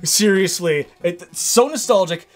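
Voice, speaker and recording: A man in his thirties co-hosting a casual chat, excitable and jokey with quick quips. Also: high-pitched. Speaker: co-host. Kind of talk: casual chat about retro games. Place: room lined with game shelves, indoors.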